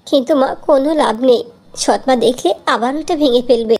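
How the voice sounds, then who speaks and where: A woman's voice: high-pitched, a little fast, darkish tone. A woman voicing a sweet, long-suffering young girl in a cartoon fairy tale, childlike and eager. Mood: earnest.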